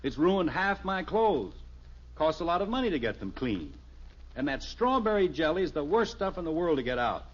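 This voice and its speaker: A man's voice: a little high, very animated, moderate pace. An actor playing a genial, evasive middle-aged suburban father in a 1950s radio sitcom, folksy and hesitant with his excuses. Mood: defensive. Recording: muffled vintage radio recording.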